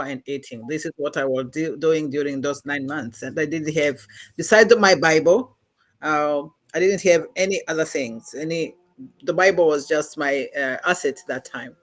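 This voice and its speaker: Earnest middle-aged Rwandan woman. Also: sits low. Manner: earnest, measured, pauses with many fillers. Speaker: guest. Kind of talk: personal testimony in a podcast interview.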